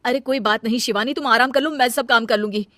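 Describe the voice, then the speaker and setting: A fairly high female voice of a storyteller acting plays the responsible elder daughter-in-law. She speaks earnestly and with emphasis, quick to exasperation, in cartoon family-drama style. The sound is close and dry. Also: fairly bright tone, no echo, close to an everyday voice. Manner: earnest, emphatic, scolding when annoyed. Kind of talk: acted Hindi family comedy story.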